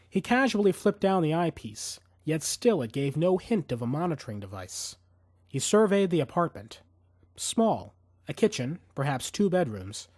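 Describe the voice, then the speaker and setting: A man reading scripted fiction as an audiobook narrator, in an expressive, suspenseful storytelling manner. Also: mid-range voice, no echo, studio quality.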